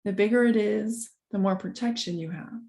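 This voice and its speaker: Mellow-voiced woman leading a guided meditation. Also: mid-range voice, creaky. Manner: calm, gentle, unhurried with long pauses. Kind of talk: guided meditation, soft instruction.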